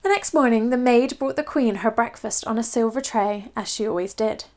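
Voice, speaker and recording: Female narrator for children's read-aloud, higher-pitched, expressive storybook delivery. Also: moderate pace.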